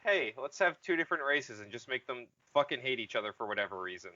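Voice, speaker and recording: A man co-hosting a casual comedic podcast, joking, swearing and halting as he goes. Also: mid-range voice, animated, pushed and bright, dry recording, muffled recording. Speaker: podcast host.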